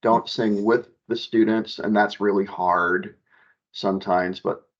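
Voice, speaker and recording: A man, an elementary music teacher, talking casually as a guest on a video call, relaxed and chatty with many fillers. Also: on the low side, measured pace. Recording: dull lo-fi video call audio.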